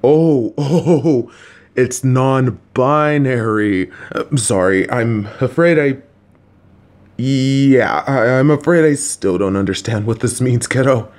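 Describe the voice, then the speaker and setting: A man voicing a gentle, awkward, supportive middle-aged father, speaking in a slow, halting way with dad jokes. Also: mid-range voice. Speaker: voice actor playing a father. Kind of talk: audio roleplay.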